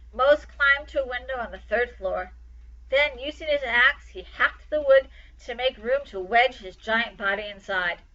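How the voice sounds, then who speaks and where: Bright-voiced woman reading a story aloud. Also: fairly high pitch, lo-fi sound. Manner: dramatic, emphatic storytelling. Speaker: storytime reader. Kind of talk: expressive children's story read aloud.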